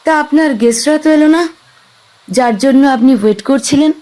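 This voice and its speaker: Woman voicing a young stranded woman, impatient, high bright voice, audio drama. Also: normal speed, close to an everyday voice. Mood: uneasy.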